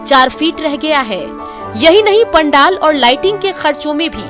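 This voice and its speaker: A woman delivers a scripted news voice-over. Her voice sits high for a woman, and music plays clearly behind her words. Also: sing-song, normal speed.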